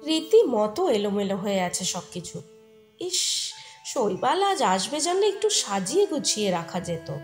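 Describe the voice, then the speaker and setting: A woman's voice: medium pitch, a little slow. A woman playing a flustered young married woman, narrating an audio story of secret love with intimate emotion. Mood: reflective. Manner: expressive, emotional, intimate first-person telling. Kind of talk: dramatised short-story narration with acted dialogue. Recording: music behind the voice. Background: soft music.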